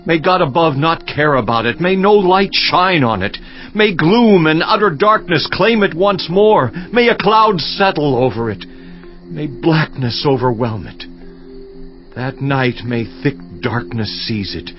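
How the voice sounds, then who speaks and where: A male actor plays a tormented, grieving man of ancient scripture. His lament is solemn and very slow, delivered theatrically in a slightly raised male voice. The audio is a bit muffled, with music beneath it. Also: a little high, animated. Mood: bitter despair. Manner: mournful, measured, solemn declamation. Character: anguished, grieving Job cursing his birth. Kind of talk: dramatized scripture reading, theatrical.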